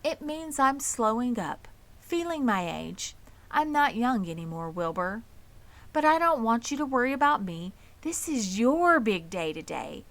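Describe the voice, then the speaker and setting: A woman voicing a wise, dignified, ageing female spider in a storybook, gentle, weary and composed, with slightly formal speech. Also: on the high side, sing-song, a little slow, voice pushed up, heavily acted. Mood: gently reassuring.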